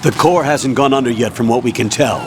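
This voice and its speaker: A man's voice: mid-range voice, brisk, background noise, close to an everyday voice. An actor playing a curt, authoritative male military colonel in a video game, with clipped delivery. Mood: commanding. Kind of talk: dramatic video game acting.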